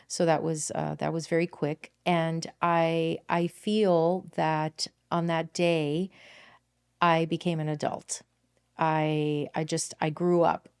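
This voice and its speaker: A woman's voice: sits low, darkish tone, clean tone. A woman in her fifties from Miami hosting her own podcast, telling her life story in an earnest, reflective way with frequent fillers and self-corrections. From Miami, Florida, United States. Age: 50s. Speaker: podcast host. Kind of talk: personal storytelling monologue.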